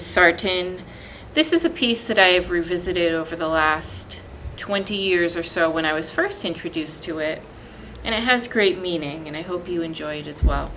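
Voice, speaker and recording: A woman in her thirties reading literature aloud as a librarian, with measured, deliberate phrasing and pauses. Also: on the low side, a bit bright, a little hiss or hum, low-quality recording. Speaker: librarian presenting a poetry reading. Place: indoor library, seated among bookshelves.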